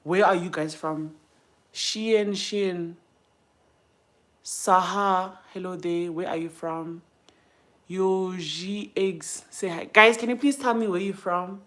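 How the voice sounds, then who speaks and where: A woman's voice: on the low side, steady tone, slow pace, fairly bright tone. A young woman with a South African accent chatting casually and informally to livestream viewers, thinking aloud and trailing off mid-thought. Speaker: livestream host. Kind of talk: casual chat while doing makeup.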